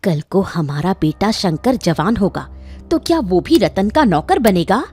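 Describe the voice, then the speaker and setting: A woman's voice: medium pitch, animated, measured pace, a little mellow, breathy edge, soft background music. A woman voicing a resentful, scheming wife who nags her husband in a cartoon story. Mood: bitter nagging. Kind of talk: Hindi cartoon moral story acting.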